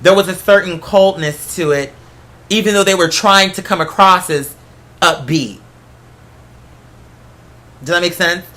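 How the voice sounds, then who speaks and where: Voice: mid-range voice, a little slow, long pauses, sharp tone. A solo host in a casual, rambling monologue, talking in an emphatic, conversational way with some swearing. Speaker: host of a solo talk show. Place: apartment.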